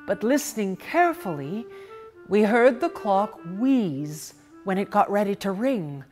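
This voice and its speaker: Slow, expressive woman storyteller. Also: medium pitch, faint music behind. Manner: dramatic, with deliberate hesitations and repeated words. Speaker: reader of short stories. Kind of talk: theatrical, expressive literary story reading.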